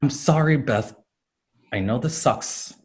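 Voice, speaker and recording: A man playing a gentle, apologetic circus colleague, hesitant and sympathetic, in a staged play reading. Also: medium pitch, slow, close to an everyday voice. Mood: placating. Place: remote, on a video call.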